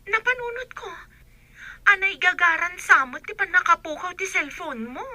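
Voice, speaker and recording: A woman's voice: very high-pitched, normal speed, sharp tone, dry recording, full character voice. An actress playing a forthright godmother and confidante, advising and gossiping insistently in a radio drama. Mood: gossipy, suspicious. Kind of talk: Ilocano comedy-drama radio acting.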